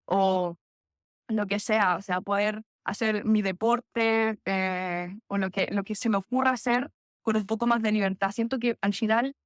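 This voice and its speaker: A woman's voice: mid-range voice, unhurried, dry recording, clean studio sound. A young Chilean woman with a Chilean Spanish accent, a guest telling stories in a casual podcast chat. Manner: chatty, animated storytelling with laughs and self-corrections. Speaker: interview guest, physiotherapist.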